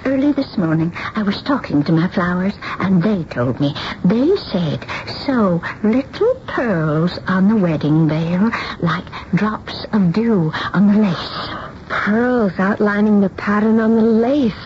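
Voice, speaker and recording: An actress playing a frail, anxious older spinster seamstress in a radio drama, pleading and halting, stammering and repeating her words. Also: mid-range voice, lively intonation. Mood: tremulous relief. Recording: dull, noisy old radio broadcast.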